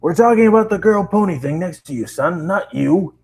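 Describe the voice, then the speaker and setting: A gruff police captain, put on by a man reading a story. He shouts his orders in a raised, forceful voice and takes no prisoners. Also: sits high, lively intonation, fairly quick, pitched above the performer's own voice, exaggerated voice. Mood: commanding.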